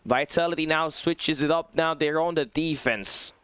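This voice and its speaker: A man doing live play-by-play on a competitive mobile shooter match, with a fairly high pitch and a smooth, clear tone. His voice sounds worn and hoarse from tiredness, yet he keeps pushing the energy, often climbing into excited shouts. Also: normal speed, dry recording. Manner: excitable, rising to shouts at big plays. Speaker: esports caster. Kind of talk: live esports play-by-play commentary. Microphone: phone on a low battery.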